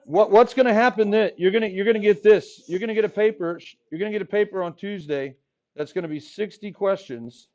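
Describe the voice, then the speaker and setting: A man in his forties teaching high school math to a live class, joking with the students as he goes. His voice sits high for a man and is a little hoarse. The classroom recording is dull and lo-fi. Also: fairly quick, darkish tone. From Texas Rio Grande Valley. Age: 40s. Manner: playful, jokey, talks to the class. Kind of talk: classroom lecture.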